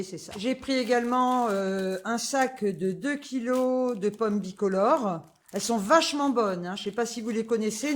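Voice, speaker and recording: A woman's voice: medium pitch, slight background noise. A woman, chatting casually through a grocery haul, full of fillers and asides. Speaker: vlogger.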